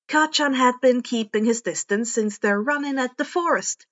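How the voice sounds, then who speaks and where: A woman with a highish voice reads a fan-made story in an expressive storytelling style. She occasionally trips on a word and carries on. The recording is a little dull and lo-fi. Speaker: podfic narrator. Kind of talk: expressive scripted fan fiction narration.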